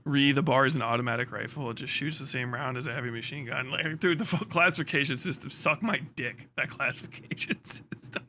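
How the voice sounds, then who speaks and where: A man livestreamer giving casual commentary, ranting in a sarcastic, animated way with lots of swearing. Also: mid-range voice, a little fast, hardly any pauses, a little hiss or hum.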